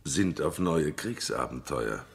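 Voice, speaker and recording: An actor playing a worldly, cynical, jovial aristocrat in a radio drama, with a mocking, theatrical manner. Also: male voice, extremely low pitch, lively intonation, slow pace, full character voice. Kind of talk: German radio drama acting.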